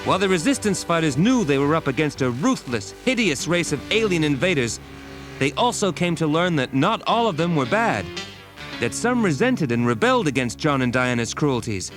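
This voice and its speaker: A man's voice: a little high, very animated, moderate pace, a bit bright. A man giving scripted, dramatic narration as the storyteller of an audio storybook. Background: music.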